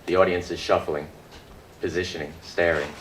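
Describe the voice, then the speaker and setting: A man recites poetry in a fairly low voice, leaning on rhythm and rhyme with dramatic, deliberate pauses. The live room rings with echo, and some background noise sits behind him. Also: level tone, fairly bright tone. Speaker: poet performing own work at a reading. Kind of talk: spoken word slam poetry recitation. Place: indoor book release reading before an audience.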